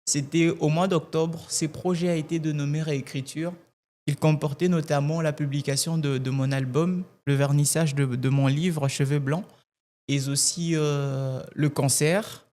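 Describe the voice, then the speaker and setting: A man from Kasai-Oriental with Tshiluba as his first language, a gospel singer answering interview questions in a measured way and often repeating words as he looks for them. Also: fairly high pitch, fairly even intonation, a little mellow. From Kasai-Oriental, DR Congo. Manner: measured, repeats words while searching for phrasing.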